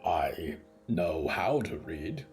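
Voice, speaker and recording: A man's voice: deep voice, sing-song, very slow, a little mellow, faint music behind, pitched below the performer's own voice, heavily acted. A man voicing a big, gloomy, childlike creature who speaks in plain, naive sentences in an audio fiction story. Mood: wistful, subdued. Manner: plain short sentences, subdued, glum, naive.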